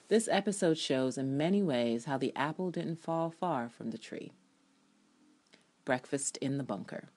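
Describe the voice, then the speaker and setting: A woman with a low-pitched voice reads her review essay aloud as a performance. Her delivery is expressive and theatrical, and she slips in playful asides as a fan reviewer. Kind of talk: dramatic reading of a written TV review essay.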